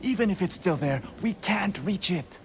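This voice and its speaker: A man's voice: high-pitched, animated, normal speed, a little hiss or hum. A man playing an anxious, doubting but loyal young blacksmith in a video game, speaking in a worried, protesting way. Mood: anxious, doubtful.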